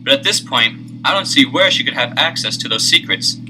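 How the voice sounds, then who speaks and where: A man's voice: mid-range voice, animated, fairly quick, background noise, natural voice. A man playing an upbeat young detective. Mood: eager. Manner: eager, upbeat. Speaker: teen detective Joe Hardy. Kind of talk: 1970s children's audio drama.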